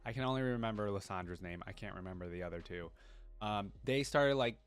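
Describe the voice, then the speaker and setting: A man hosting a reaction video in an informal way, reading aloud and thinking out loud as he comments. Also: lowish voice, a little fast, clear, resonant tone.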